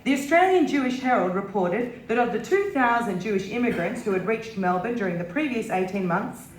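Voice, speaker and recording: A woman giving a dramatic reading of a scripted memoir at a live event. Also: a little high. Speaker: reader at a literary stage event. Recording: roomy, echoing live venue.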